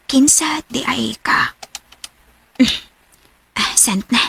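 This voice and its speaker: A woman's voice: high-pitched, drawn-out words, vocal fry, bright, forceful tone, a little hiss or hum, exaggerated voice. A woman acting a shy, giddy teenage schoolgirl in a radio drama, with a Cebuano accent. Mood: curious, giddy.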